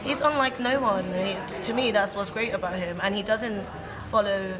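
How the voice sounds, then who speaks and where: Young woman over loud cafe chatter. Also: medium pitch, normal speed. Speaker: youth charity chief executive. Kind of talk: interview answers. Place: busy cafe. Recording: loud cafe crowd behind voice.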